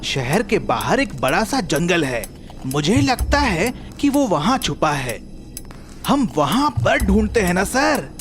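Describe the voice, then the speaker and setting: Woman playing an eager, lively cartoon police constable. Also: lowish voice, slow, faint music behind. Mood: earnest, eager. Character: eager, deferential police constable in comic story. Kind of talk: Hindi cartoon story acting.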